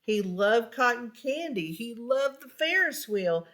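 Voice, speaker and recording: Unhurried, higher-voiced woman reading a storybook. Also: sing-song, a bit bright. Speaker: storybook reader. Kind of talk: expressive children's read-aloud narration.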